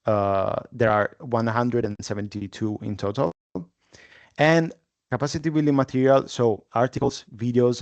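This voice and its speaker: Creaky-voiced man moderating a webinar. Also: mid-range voice, darkish tone, no echo. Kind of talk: webinar presentation.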